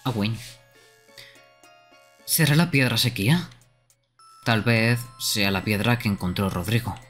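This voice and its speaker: A man's voice: on the low side, unhurried, fairly bright tone, faint music behind. A man hosting a gaming livestream with casual, conversational chat. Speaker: streamer, host.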